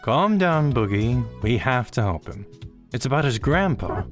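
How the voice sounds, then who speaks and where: A small digital creature, voiced by a man with a slightly raised, earnest voice that coaxes gently and rises and falls freely. Soft music runs underneath. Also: medium pitch, big pitch swings, measured pace, voice pushed up.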